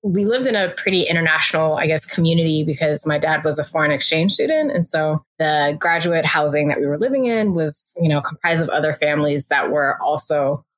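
Low-pitched American woman, casual podcast guest. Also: brisk, hardly any pauses. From California, born in DR Congo. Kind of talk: casual conversational storytelling.

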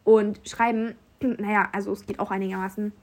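Young woman from Germany, chatty storyteller, student, lively quoting of others, mid-pitched voice, casual and talkative. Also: a little fast. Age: young adult. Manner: chatty, animated quoting of others, frequent fillers. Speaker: university student abroad.

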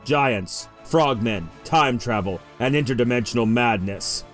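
A man's voice: medium pitch, lively intonation, a little slow, a bit bright, faint music behind. A man reading scripted promotional narration as a trailer announcer.

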